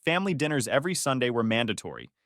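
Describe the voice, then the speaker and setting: A man's voice: mid-range voice, very animated, racing, fairly bright tone, dry recording. Man narrating a reddit story, dry.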